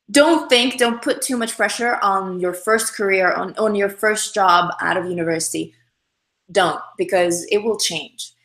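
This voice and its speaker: A woman's voice: medium pitch, a bit bright. Chatty young woman, roomy echo. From Russia. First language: Russian. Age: young adult. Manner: chatty, upbeat, many fillers and restarts. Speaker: livestream host. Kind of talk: casual livestream chat answering comments.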